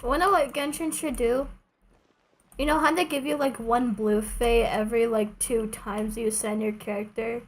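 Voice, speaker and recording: A woman doing casual, chatty livestream commentary while playing a game, playful and quick to swear. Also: fairly high pitch, steady tone. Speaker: game streamer.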